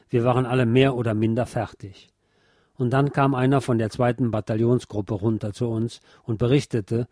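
Man, narrator, scripted reading, mellow timbre, very little room sound. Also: medium pitch, no room sound, clean studio sound. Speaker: narrator reading a historical letter and credits.

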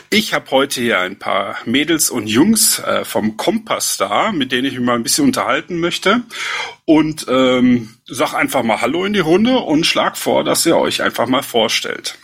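A man's voice: mid-range voice, fairly bright tone, breathy edge. A man hosting a casual podcast round, chatting loosely with many fillers. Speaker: podcast host. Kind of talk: casual chat.

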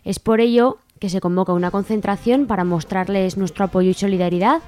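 A woman's voice: medium pitch, steady tone, fairly quick, warm tone, dry recording. A woman from reading scripted announcements of upcoming local events as a radio presenter.

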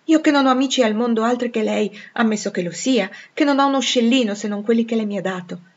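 A woman's voice: fairly high pitch, fast, highs cut off, voice pushed up. A woman voicing an earnest, devoted, disbelieving poor young woman in a dramatic audiobook. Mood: earnest disbelief. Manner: questioning, earnest. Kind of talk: dramatic audiobook character dialogue.

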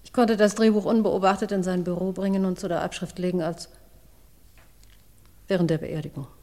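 A woman playing a distressed forty-year-old secretary confessing as a witness in a radio crime play, halting and faltering. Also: fairly low pitch, steady tone, dark tone, lightly acted. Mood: remorseful, resolute. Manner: halting, faltering, repeating herself. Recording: long reverberant courtroom hall.

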